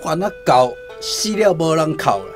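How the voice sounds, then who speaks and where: Grumpy old taiwanese grandpa in a radio play, highish voice, bit of music behind. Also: male voice, very animated, moderate pace. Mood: angry, scolding. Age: older. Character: gruff, quick-tempered old father hostile to Christianity. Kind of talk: radio drama acting.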